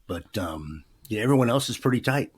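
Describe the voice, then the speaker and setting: A man's voice: on the low side, lively intonation, a little fast, slightly rough. A man in his fifties, a former radio DJ, chatting in an interview in a rambling way with many fillers. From Pacific Northwest, USA. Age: 50s. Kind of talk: casual interview chat.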